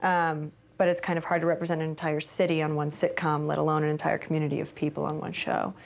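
Low gravelly-voiced woman in an interview. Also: flat delivery, fast. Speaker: actor in interview. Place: indoor studio against a backdrop.

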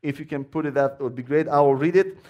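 A man with a Romanian accent preaches from a church pulpit, his voice sitting a little high for a man. He speaks with earnest fervor, often restarting his phrases as he builds his point. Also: quick pace, darkish tone. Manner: earnest, fervent, with frequent restarts. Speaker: guest preacher. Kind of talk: sermon. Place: church sanctuary.

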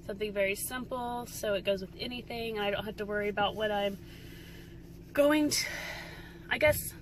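A woman's voice: medium pitch, fairly bright tone, slight background noise. A young woman chatting casually to camera as a vlogger, recorded on a phone held at arm's length inside a car. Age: young adult.

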